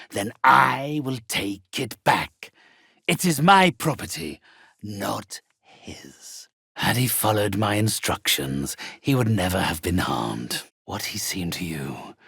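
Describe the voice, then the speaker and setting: A sinister undead necromancer from a video game, husky and gravelly in a very dry, close recording. He speaks slowly and imperiously, with theatrical menace in every grand phrase. The voice often thins into a breathy hiss. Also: on the low side, fairly bright tone, no room sound, full character voice. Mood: cold menace. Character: haughty, sinister undead necromancer and spirit medium, male.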